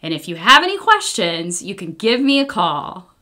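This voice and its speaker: A woman's voice: mid-range voice, sing-song, moderate pace, pushed and bright. An American woman voicing a cheerful, caring pharmacist in a children's storybook, upbeat and instructive.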